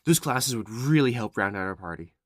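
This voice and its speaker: A woman voicing a bubbly, friendly, excitable young creature with an exuberant, chatty manner, in an ASMR audio roleplay. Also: very deep voice, fairly quick, dry recording, studio quality.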